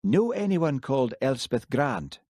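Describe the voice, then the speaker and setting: A man playing a shrewd, easygoing Highland constable, dry and understated. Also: sing-song, unhurried, mellow, no echo.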